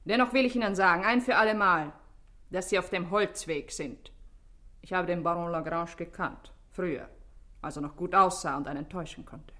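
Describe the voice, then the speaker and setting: A woman's voice: on the low side, moderate pace, a bit bright, natural voice. An actress playing a self-assured, evasive society woman under questioning in a German radio drama, with an ironic, haughty manner.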